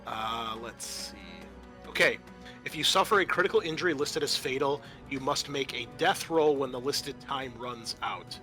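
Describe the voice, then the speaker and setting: A man acting as game master, narrating and refereeing a horror roleplaying game in a halting way with stretched, dramatic emphasis. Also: mid-range voice, bright, forceful tone. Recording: background music under the voice.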